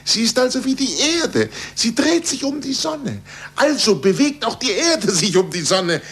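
An actor playing an impassioned, urgent astronomer in a 1940s radio play, speaking emphatically. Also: male voice, extremely high pitch, sing-song, moderate pace, exaggerated voice. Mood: excited, triumphant. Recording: husky-edged, bright 1940s radio recording.

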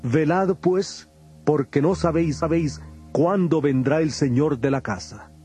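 A grave male prophet teacher, bit high voice, measured and commanding, faint music behind, bible audio drama. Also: mellow, husky. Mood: solemn warning. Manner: solemn, measured, declarative. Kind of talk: dramatized scripture audio acting.

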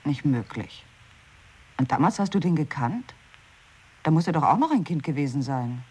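A woman's voice: low-pitched, very animated, moderate pace, warm tone, slightly rough, heavily acted. An actress playing a doubtful woman who keeps questioning her partner, in an experimental radio play.

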